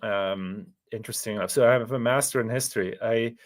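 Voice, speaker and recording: A man's voice: on the low side, fairly quick. A middle-aged man from Switzerland, a business professor, answering in a thoughtful, modest way with hesitant restarts. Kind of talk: podcast interview.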